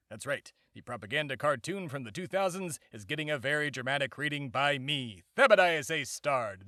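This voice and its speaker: A man's voice: fairly high pitch, dry recording, studio quality. A man playing a bombastic, self-important immortal embodiment of America in a comedy podcast monologue. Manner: pompous, shouting announcer bursts, rambling asides.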